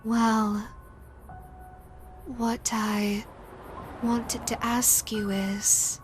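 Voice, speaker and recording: Slow, high-voiced female yokai ghost, acted by a woman. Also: flat delivery, soft background music. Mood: eerily polite. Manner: slow, deliberate, theatrical. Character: female Japanese yokai ghost, menacing yet easily flustered. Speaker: voice actress. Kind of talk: audio roleplay, character speaking to listener.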